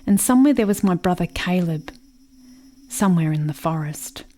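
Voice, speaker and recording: A woman's voice: lowish voice, expressive, moderate pace, warm tone. A woman playing a dreamy, haunted settler girl in a radio play, speaking in an incantatory, repetitive monologue. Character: haunted, dreamy settler girl mourning her lost brother.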